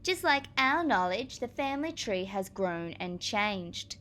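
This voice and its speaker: A woman teacher reading aloud to young children, explaining as she goes in a clear, expressive manner. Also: medium pitch, measured pace.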